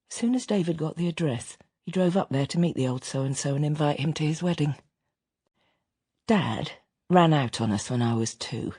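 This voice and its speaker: A man's voice: fairly high pitch, darkish tone, breathy edge, no echo, clean studio sound. An actor playing a reflective grown son, confiding wistful memories of an absent father in an intimate radio drama monologue. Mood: wry, reminiscing. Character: reflective adult son recounting his estranged father.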